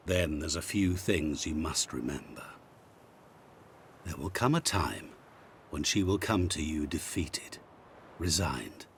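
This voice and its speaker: An actor playing a sly, calm otherworldly bargainer in a video game, speaking in measured, theatrical phrases over faint rumble. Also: male voice, creaky, heavily acted. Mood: grave, cryptic. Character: sinister otherworldly bargain-making man, calm, sly and knowing. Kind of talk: video game dialogue acting.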